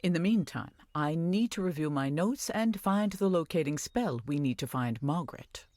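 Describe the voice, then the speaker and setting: An actress playing an older village wise woman in a video game, caring and earnest, giving measured instructions. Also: low voice, lively intonation, darkish tone, dry recording, studio quality. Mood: earnest, urgent. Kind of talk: scripted video game dialogue. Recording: music behind the voice.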